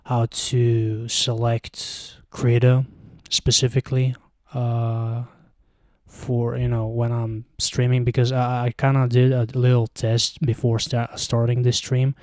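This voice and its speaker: A man's voice: mid-range voice, monotone, slow pace, a little mellow, no room sound, studio quality. A young man with a Bulgarian accent, chatting on a casual live stream, rambling and hesitant, with lots of fillers, into a handheld mic at home. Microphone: handheld microphone close to mouth.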